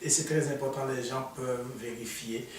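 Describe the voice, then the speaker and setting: A man's voice: mid-range voice, fairly even intonation, normal speed. Man, panel guest, telling his own experience, fillers, far-off mic, faint noise. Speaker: immigration consultant, guest. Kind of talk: explaining on a panel. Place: studio. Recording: echoey room, mic far off.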